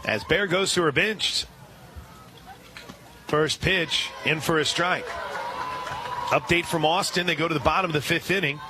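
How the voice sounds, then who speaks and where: A man doing live radio play-by-play of a softball game, relaxed and chatty, lifting his voice on big plays. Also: on the high side, measured pace, pauses often, background noise.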